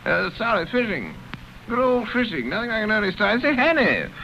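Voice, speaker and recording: Slurry drunk posh toff, swoops high and low all over, dull old radio sound. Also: male voice, very animated, normal speed, creaky, noisy background, full character voice. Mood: rambling drunk. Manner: slurred, rambling, sing-song drunken talk. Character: drunk upper-class English gentleman, rambling. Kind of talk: vintage radio drama acting.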